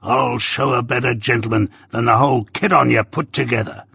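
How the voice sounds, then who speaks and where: A man reading an audiobook voices a rough old male ex-convict who dotes and blusters in a broad rustic dialect. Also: mid-range voice, fairly even intonation, brisk, bright, forceful tone, no room sound, clean studio sound, full character voice. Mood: boastful. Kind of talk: audiobook character voice.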